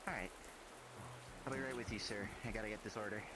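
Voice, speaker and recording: A man playing a busy, obliging butcher in a fantasy roleplay, brisk and polite. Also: fairly low pitch, steady tone, noisy background, close to an everyday voice.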